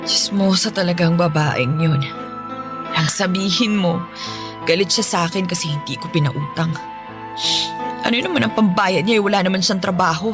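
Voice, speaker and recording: A woman's voice: on the low side, moderate pace, long pauses, close to an everyday voice. An actress playing a distressed, loving mother abandoned by her husband in an emotional Tagalog radio drama. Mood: bitter, exasperated. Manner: tearful, emotional, sighing. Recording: music playing behind.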